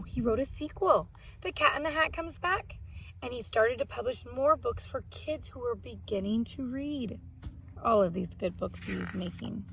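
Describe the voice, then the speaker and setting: High voiced lady teacher doing read aloud and lesson stuff for little kids, bit of noise behind her. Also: gravelly, low-quality recording. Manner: simple step-by-step explaining to young children. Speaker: elementary school teacher.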